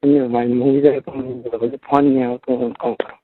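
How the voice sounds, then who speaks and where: A man's voice: medium pitch, little pitch movement, fast, no room sound. A man with a Marwari accent chats casually on the phone, often repeating short questions and phrases. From Rajasthan, India. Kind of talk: casual private phone chat. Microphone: telephone. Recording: muffled lo-fi phone call recording.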